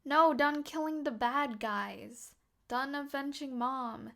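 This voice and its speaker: A woman's voice: sits high, slow pace, vocal fry, bright, forceful tone, exaggerated voice. A woman voicing an eager, mouthy young vigilante girl in a comic dub. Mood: pleading.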